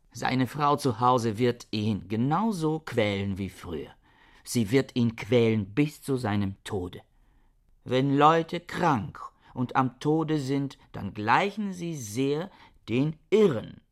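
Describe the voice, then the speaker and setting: A man's voice: mid-range voice, animated, slow pace. An actor playing a bitter, misanthropic scholar in a radio drama, harsh and lecturing in his contempt. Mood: bitter accusing. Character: bitter misanthropic male scholar, scornful older brother. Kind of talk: German radio drama acting.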